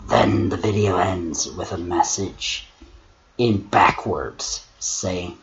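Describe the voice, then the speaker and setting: Man putting on a low, solemn manservant storyteller. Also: takes it slowly. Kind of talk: dramatic horror reading and measured critique. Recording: muffled lo-fi mic with clear noise.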